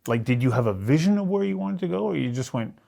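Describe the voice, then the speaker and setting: Gravelly-voiced male interviewer. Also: medium pitch, animated, fast, mellow. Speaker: interviewer, host. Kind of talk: casual conversational interviewing.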